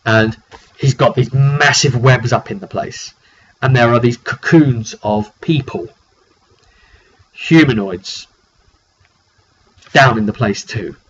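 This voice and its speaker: A man's voice: medium pitch, takes it slowly, pauses often, husky. A man informally recapping a tabletop roleplaying session as game master, thinking aloud and repeating words as he goes. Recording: dull recording with faint background noise.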